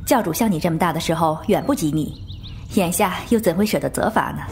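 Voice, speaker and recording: A woman's voice: lowish voice, fairly quick, background noise, lightly acted. A woman playing a composed, respectful subordinate of a martial-arts sect in a formal Chinese wuxia audio drama.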